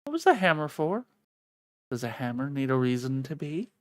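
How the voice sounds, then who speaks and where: Eccentric female Hatter for a visual novel, acted by a streamer: flippant, bossy, lively pitch, dry sound. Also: moderate pace, no room sound, lightly acted. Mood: breezy, bossy. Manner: flippant, offhand.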